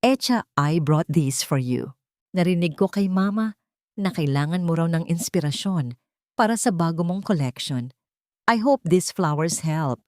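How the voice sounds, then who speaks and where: A woman voicing a gentle, devoted man who earnestly woos his wife in a tender storybook drama. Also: on the low side, animated, measured pace, dry recording, clean studio sound. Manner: tender, earnest, mixing Tagalog and English.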